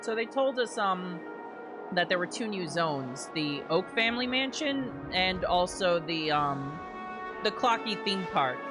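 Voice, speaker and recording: A woman's voice: fairly low pitch, lively intonation, measured pace. Woman live-streaming with sarcastic, sweary commentary. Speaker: game streamer. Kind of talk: casual live game commentary. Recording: game music behind the voice.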